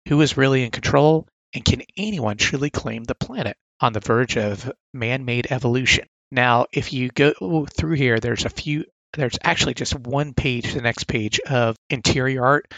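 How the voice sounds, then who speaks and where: A man's voice: mid-range voice, moderate pace, few pauses, a bit bright, no echo, dull audio. A man with a sore throat casually reviewing comic catalog picks, reading blurbs aloud with enthusiastic asides.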